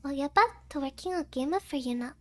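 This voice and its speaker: A woman voicing a cute, affectionate anime cat girl in soft livestream chat, peppering her speech with Japanese interjections. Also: high voice, animated, a little fast. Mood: cutesy, affectionate. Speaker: VTuber streamer hosting a livestream.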